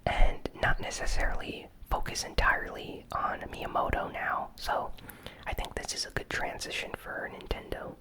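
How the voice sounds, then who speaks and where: Whispered ASMR gaming news host. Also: moderate pace. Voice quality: soft unvoiced whisper. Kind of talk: whispered ASMR news recap with casual commentary.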